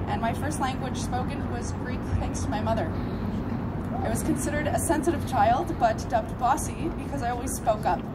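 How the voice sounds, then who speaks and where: A woman in her thirties from Canada giving an earnest, measured prepared speech at a rally. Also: sits high, heavy background noise. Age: 30s. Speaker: rally speaker.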